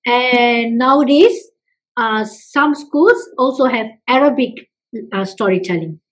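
A woman from Malaysia, answering interview questions with many pauses and restarts. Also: fairly high pitch, poor audio. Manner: hesitant, many fillers and restarts. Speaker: festival representative guest.

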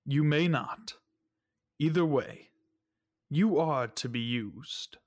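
A man's voice: a little high, slow. A man playing a cold, fanatical alien mystic captor who speaks in stilted, formal pronouncements in an audio drama. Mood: solemn, cryptic.

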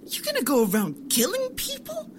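An actress playing a scolding, appalled big sister in an audio drama, exclaiming with reproach. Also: female voice, extremely high pitch, huge pitch swings, moderate pace, a little hiss or hum, heavily acted.

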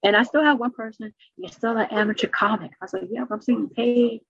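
A middle-aged woman with a New York accent, a stand-up comedian chatting in an interview and telling stories quickly and animatedly. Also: a little high, lo-fi sound. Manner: fast, animated storytelling with laughs. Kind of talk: casual interview chat.

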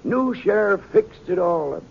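An actor playing a cheerful, rascally small-town jailbird in a radio western, with folksy speech. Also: male voice, sits high, expressive, gravelly, slightly rough, heavily acted. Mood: jovial, folksy. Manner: folksy rustic talk, chuckling, unhurried. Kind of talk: 1950s radio western acting. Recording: muffled old 1950s radio recording.

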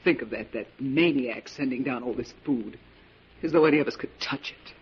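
An actor playing a tense, resigned prisoner awaiting death, in dramatic 1940s radio style. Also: male voice, mid-range voice, moderate pace, close to an everyday voice. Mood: tense, bitter. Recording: muffled, hissy 1940s radio recording.

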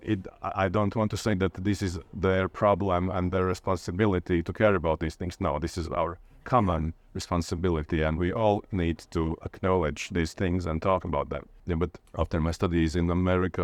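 A Latvian man with a low voice answers in an interview. He speaks conversationally in accented English, hesitating and restarting as he looks for words. The sound is dry, with little room in it. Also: fairly even intonation, fairly quick, few pauses, no echo. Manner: hesitant, searching for words with repeated starts. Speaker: interviewee, literature lecturer.

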